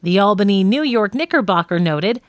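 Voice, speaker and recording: Female audiobook narrator, lively pitch, measured nonfiction read, dry booth. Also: no echo.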